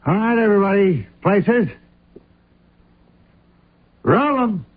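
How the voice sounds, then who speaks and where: High-voiced TV show director. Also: male voice, lively intonation, slow, full character voice. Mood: uneasy, businesslike. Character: excitable male TV show director. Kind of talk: radio drama acting. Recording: tinny old radio drama recording.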